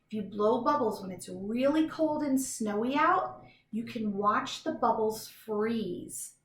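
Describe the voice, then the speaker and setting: A woman's voice: a little high, animated, slow pace, echoey room. A woman reads a children's book aloud like a teacher, enthusiastic and instructive, with chatty explanatory asides to young listeners.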